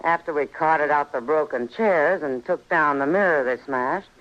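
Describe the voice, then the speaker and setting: A woman's voice: low-pitched, normal speed, fairly bright tone. An American woman playing a wry, world-weary saloon woman in an old-time radio western. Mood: wry, easy. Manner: dry, wry. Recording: muffled old radio recording.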